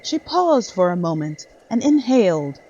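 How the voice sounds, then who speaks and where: A woman's voice: mid-range voice, big pitch swings, a little slow, warm tone, lo-fi sound. A woman reading scripted dramatic narration for a horror story.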